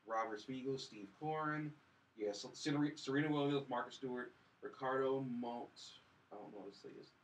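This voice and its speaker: Man, average pitch, hesitant delivery, casual film podcast chat, slightly off-mic, faint noise. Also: a little fast, distant mic, rough recording. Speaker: film review podcast host.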